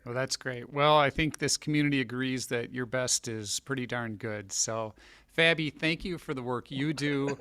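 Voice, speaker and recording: A man's voice: medium pitch, moderate pace, sharp tone, clean tone, no echo. A man hosting a podcast interview, speaking in a friendly, appreciative, easygoing way. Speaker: podcast host, county executive. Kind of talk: casual interview.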